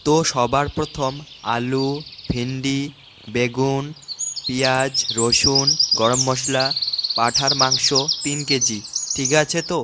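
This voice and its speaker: A man's voice: medium pitch, flat delivery, slow, heavy background noise, lightly acted. A man voicing a bossy, impatient older uncle who gives orders in a comic cartoon. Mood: organizing. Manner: commanding, impatient. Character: bossy older uncle organizing the village picnic.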